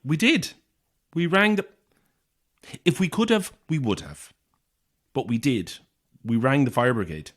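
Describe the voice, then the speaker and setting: A man's voice: mid-range voice, wildly swooping pitch, measured pace, pauses often. An anxious young irish guy, edgy and defensive, bit sardonic, natural horror podcast drama acting. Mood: defensive, flustered. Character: anxious, isolated, defensive young man on a ghost estate.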